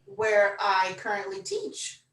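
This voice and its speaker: A woman's voice: medium pitch, flat delivery, slow pace, a bit bright, a little hiss or hum. A woman from southern California, a teacher, talking casually about herself as a podcast guest. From southern California, United States. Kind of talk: casual self-introduction. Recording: echoey room, distant mic.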